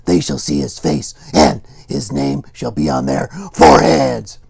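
Goofy dinosaur puppet, children's Bible skit: heavily gravelled, unhurried, excitable. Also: male voice, medium pitch, heavily acted. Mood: cheerful teaching. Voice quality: husky, gravelly. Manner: playful, chatty, exclamatory.

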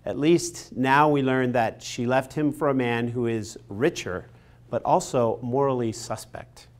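Male lecturer in his forties, mid-pitched, expressive and emphatic, scripted studio video lesson on a lapel mic. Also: measured pace. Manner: expressive, emphatic storytelling delivery. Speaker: literature lecturer. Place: studio in front of a painted backdrop.

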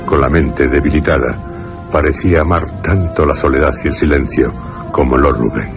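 A man's voice: rumbling low, normal speed, gravelly, music in the background. A man with an accent from Spain narrating a scripted radio drama.

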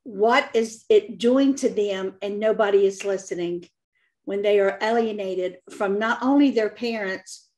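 A woman's voice: medium pitch, a little mellow. An older woman introducing a programme to camera in an earnest, scripted manner. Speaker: host, organisation founder.

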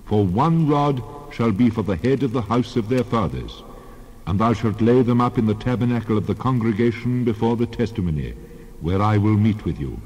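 Solemn, reverberant God. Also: male voice, lowish voice, lively intonation, normal speed, a little mellow, husky. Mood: solemn, commanding. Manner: solemn, declarative. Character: God, a solemn commanding divine lawgiver. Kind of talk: dramatized scripture reading. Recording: long reverb, dull band-limited sound.

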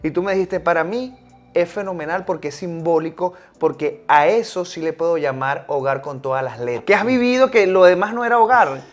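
A man hosting a casual interview in a living room, asking questions with quick interjections. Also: fairly high pitch, soft background music. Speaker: host. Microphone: lapel mic.

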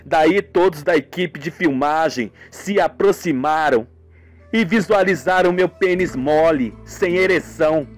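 A man's voice: fairly high pitch, unhurried, faint music behind, lightly acted. A man playing a plaintive young male patient confiding over the phone in a radio soap.

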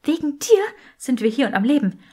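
A woman's voice: sits high, sing-song, a little fast, mellow, pitched above the performer's own voice. A woman voicing an earnest, teasing young witch in a fantasy audiobook. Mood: earnest, admiring.

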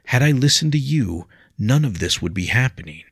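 A man's voice: mid-range voice, expressive, moderate pace, vocal fry, breathy edge, dry recording, studio quality, pitched above the performer's own voice. A man playing a remorseful young nobleman in an audiobook story, speaking heatedly and bitterly with mirthless resolve.

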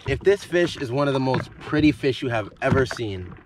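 A young man giving casual, excited fishing commentary in short, repeated exclamations, recorded outdoors on the water. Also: medium pitch, moderate pace. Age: young adult. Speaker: fishing vlogger. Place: outdoors on a jet ski at sea. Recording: noisy outdoor boat recording. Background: boat and open-water noise.

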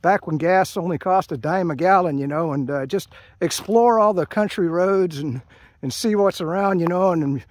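Older man, American accent, high voice, halting rambling delivery, casual hiking vlog, dry outdoor sound. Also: no echo. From United States. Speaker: hiking vlogger. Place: outdoors at a trailhead by open fields. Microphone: handheld camera held by speaker.

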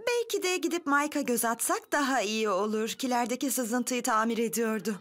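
A woman's voice: on the high side, animated, moderate pace, fairly bright tone. A woman voicing a concerned, practical village woman in a children's cartoon. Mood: worried. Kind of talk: animated children's cartoon dub.

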